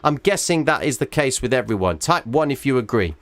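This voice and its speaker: A man's voice: mid-range voice, animated, fairly quick, no room sound. A man hosting a live trading stream, walking viewers through a chart in an emphatic way and often checking in with "all right?", while losing his voice. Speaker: trading livestream host.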